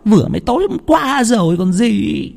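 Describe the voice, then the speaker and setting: A man's voice: high-pitched, sing-song, moderate pace, vocal fry, a little mellow, voice pushed up, full character voice. A man voicing a grumpy, cursing old village wife who scolds her husband in a ghost story. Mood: annoyed. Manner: nagging, cursing.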